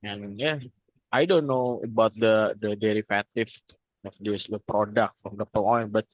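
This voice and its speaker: A man's voice: on the low side, steady tone, normal speed, no room sound. A young man from North Sumatra with an Indonesian accent, answering in class hesitantly with many restarts. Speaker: student. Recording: dry, dull lo-fi sound.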